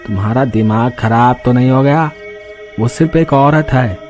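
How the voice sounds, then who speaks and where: A man's voice: mid-range voice, normal speed, a little mellow, soft background music, natural voice. A man voicing a concerned but bold village husband in an exclamatory, dramatic cartoon style. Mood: urgent. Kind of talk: Hindi cartoon character acting.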